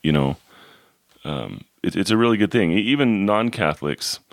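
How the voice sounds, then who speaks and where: A man co-hosting a podcast, hoarse this morning, talking in a halting, casual way with many fillers and repeated words. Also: low-pitched, expressive, dry recording, studio quality. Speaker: podcast co-host. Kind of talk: casual chat.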